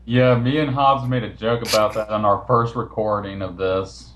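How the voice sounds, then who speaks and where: A man's voice: fairly low pitch, steady tone, normal speed, crowd noise. A man hosting a casual online voice chat, talking in an informal, matter-of-fact way. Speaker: host of the recorded chat. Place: online voice call.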